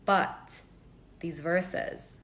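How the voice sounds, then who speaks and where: A woman's voice: fairly low pitch, fairly even intonation, drawn-out words, fairly bright tone, a little hiss or hum. Chatty young woman from Hong Kong. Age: 20s. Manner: chatty, earnest, many "like" fillers. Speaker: vlogger. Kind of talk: casual talking-to-camera story. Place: home.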